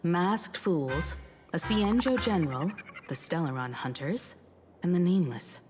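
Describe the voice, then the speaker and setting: A woman voicing an earnest, solemn adventurer in a fantasy game, speaking deliberately. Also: on the low side, animated, darkish tone, faint music behind. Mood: solemn, resolute. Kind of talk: video game voice acting. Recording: long reverb, background noise, game ambience.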